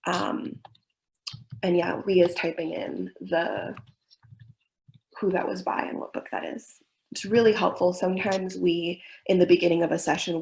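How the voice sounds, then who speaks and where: A woman's voice: fairly low pitch, creaky, darkish tone, low-quality recording. A young woman from Miami presenting a webinar in an earnest, thoughtful way with frequent fillers. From Miami, United States.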